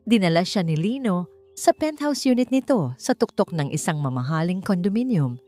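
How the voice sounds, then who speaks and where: Expressive low-voiced woman narrating romance. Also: moderate pace, faint music behind. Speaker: story narrator. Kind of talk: dramatic scripted romance narration.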